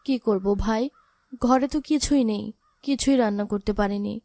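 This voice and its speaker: A woman voicing a poor orphaned big sister in a cartoon drama, gentle, sorrowful and pleading. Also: on the high side, normal speed, no echo, close to an everyday voice. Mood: helpless, coaxing. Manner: plaintive, pleading.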